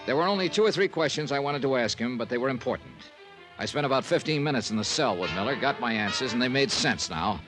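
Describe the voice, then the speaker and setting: A man's voice: medium pitch, lively intonation, quick pace, over music, lightly acted, rough recording. A man playing a wry, persistent insurance investigator, narrating and talking in clipped 1950s radio drama style. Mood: wry, measured. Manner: punchy, clipped, conversational. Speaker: narrator and lead detective. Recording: old-time radio recording.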